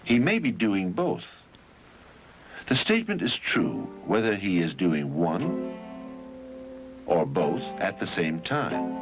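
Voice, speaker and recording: A man narrates an educational film in a lowish voice with lively intonation. His delivery is measured and didactic, each phrase set out deliberately. The early seventies soundtrack sounds dull and muffled. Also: music in the background.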